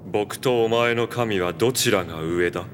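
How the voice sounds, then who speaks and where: Man as a low, arrogant villain in reverb. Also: normal speed, a little hiss or hum, close to an everyday voice. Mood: cold, defiant. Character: arrogant, cold villain defying the gods. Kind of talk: Japanese anime voice acting. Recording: long hall-like reverb.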